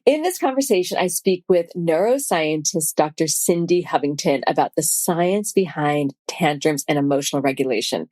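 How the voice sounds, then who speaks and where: An upbeat woman host reads her podcast intro with a lot of enthusiasm. Her voice sits slightly low and the recording is dry and close, with no room sound. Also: expressive, normal speed, few pauses, a little mellow, no echo. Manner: upbeat, enthusiastic, self-described science geek. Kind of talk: scripted podcast intro and promos.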